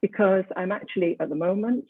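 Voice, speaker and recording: A woman's voice: medium pitch, a little fast, dry recording. A woman in her seventies giving a candid, reflective talk as a guest speaker. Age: 70s. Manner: candid, reflective, laughs easily. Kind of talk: talk on a video call. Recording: dry, phone-like, tinny call audio.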